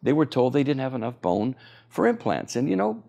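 An older man in his sixties speaks with a gravelly, creaky texture. He explains things eagerly, with plenty of fillers and restarts, as a guest in a television studio interview. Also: medium pitch, sing-song, brisk, mellow, highs cut off. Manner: enthusiastic, explanatory, frequent fillers. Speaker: oral surgeon guest.

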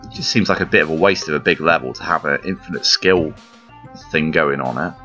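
A man casually commentating on a puzzle game as he plays, rambling and poking fun at himself, his voice croaky today. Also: rumbling low, brisk, sharp tone. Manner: rambling, self-deprecating, chuckles often. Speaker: gameplay commentator. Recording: slightly dull lo-fi recording with game music behind.

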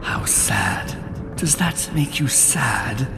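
Gloating cartoon cloud villain, gravelly half-whisper, extremely drawn-out, menacing. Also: medium pitch, expressive, full character voice. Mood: menacing, taunting. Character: sinister gloating storm cloud monster feeding on sadness. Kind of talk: comic dub villain acting. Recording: voice over background music.

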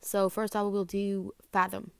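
Young woman, casual introduction, amateur voice actor, mid pitch, dry sound. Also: level tone, unhurried, creaky, clear, resonant tone, no room sound.

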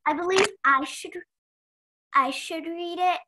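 A woman's voice: extremely high pitch, measured pace, pushed and bright, a little hiss or hum, full character voice. A young woman playing an in-charge investigator in an amateur radio play. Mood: probing. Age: young adult.

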